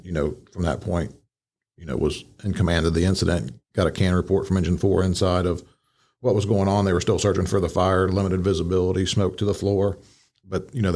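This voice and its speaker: Low-voiced American man breaking down events. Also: a little mellow. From Midwestern United States. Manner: explanatory, frequent "you know". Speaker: podcast host and fire command instructor. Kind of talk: casual analytical commentary.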